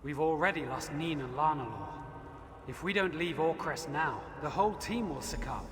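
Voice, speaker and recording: A man voices a frightened healer in a fantasy game, pleading urgently. His voice is pitched high and rings in a long reverb over clear background noise. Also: expressive, normal speed, close to an everyday voice. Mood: urgent alarm. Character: urgent, frightened medical colleague pleading to flee. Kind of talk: scripted fantasy game dialogue.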